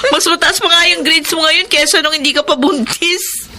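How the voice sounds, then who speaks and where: A woman's voice: high voice, steady tone, moderate pace, bright, forceful tone. An actress playing a grateful, caring young working mother and elder sister in a Filipino radio drama. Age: young adult.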